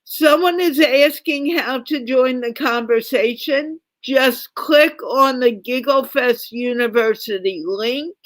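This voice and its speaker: A woman hosts an online English conversation class over a video call, speaking slowly and in a firm, teacherly way. Her voice sits a little high, and the sound is dry and close. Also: no room sound. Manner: firm, instructive, reminds guests to mute. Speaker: host of an English conversation show. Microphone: computer mic on a video call.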